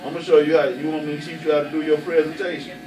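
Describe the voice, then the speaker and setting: A man's voice: on the high side, little pitch movement, racing, background noise. A man with a Southern US accent bantering casually in blunt, profane slang. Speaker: barber. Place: barbershop, indoors. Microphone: camera a few steps away. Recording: roomy, distant mic, chatter around.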